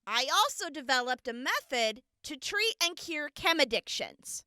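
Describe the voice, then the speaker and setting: Woman acting a gentle earnest wasteland medic girl on the stand, high bright voice, big pauses, radio drama. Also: sing-song, no echo, studio quality, heavily acted. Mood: earnest, confessing. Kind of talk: audio drama courtroom testimony.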